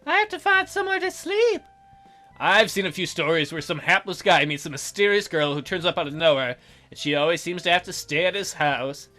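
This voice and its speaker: A woman's voice: sits low, brisk, sharp tone, soft background music. A woman reading a visual novel aloud as a let's play host, breaking in with playful, jokey commentary.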